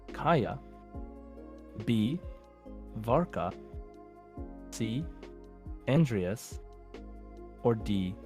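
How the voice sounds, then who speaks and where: A man hosting a podcast quiz in casual banter, slowing down deliberately for questions and options. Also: fairly low pitch, darkish tone. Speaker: podcast host and quiz master.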